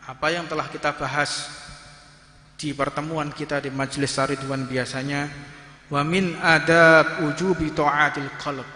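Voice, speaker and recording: A man in his thirties with a Javanese accent preaches with rising passion, stretching out Arabic phrases. His voice is fairly high and very sharp and forceful. It rings with long reverb through a mosque hall. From Indonesia. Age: 30s. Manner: rises to impassioned, fervent preaching, draws out Arabic phrases. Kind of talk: sermon.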